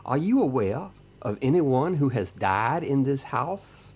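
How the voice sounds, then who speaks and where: An actor playing a short, polite hillbilly ghost hunter's assistant in an audio drama, folksy and deferential. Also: male voice, mid-range voice, big pitch swings, unhurried, darkish tone, a little hiss or hum. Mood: polite, businesslike questioning. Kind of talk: audio drama character dialogue.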